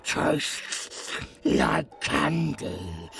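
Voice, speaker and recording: An actor voicing a gleefully deranged, bloodthirsty immortal vampire monster in a horror audio roleplay, gravelly and growling, foul-mouthed and taunting. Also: mid-range voice, background noise, heavily acted. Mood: manic bloodlust. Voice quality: gravelly, growling, breathy cackles. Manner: foul-mouthed, taunting, manic, swinging from whispers to shouts.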